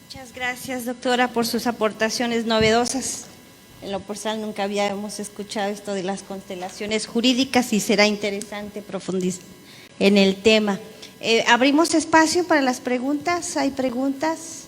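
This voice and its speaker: A woman's voice: on the high side, fairly even intonation, moderate pace. A woman formally moderating a conference, thanking and directing as the event's moderator. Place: conference hall.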